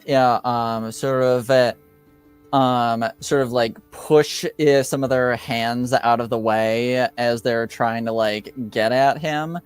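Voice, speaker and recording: A man improvising tabletop game narration as game master, in a hesitant, halting way with long pauses. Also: medium pitch, clear, resonant tone.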